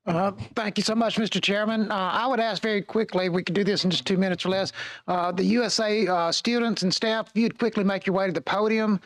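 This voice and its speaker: High-voiced man, polite and halting. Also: fairly even intonation, no echo. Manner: courteous, repeats himself with many uhs. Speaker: state representative. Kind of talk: introducing guests at a hearing. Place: large legislative committee room.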